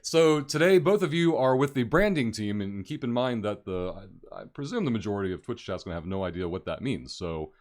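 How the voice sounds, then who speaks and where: A man's voice: medium pitch, expressive, a little fast, hardly any pauses, darkish tone. A man hosting a live-streamed interview, asking loose, rambling questions with enthusiasm. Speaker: host.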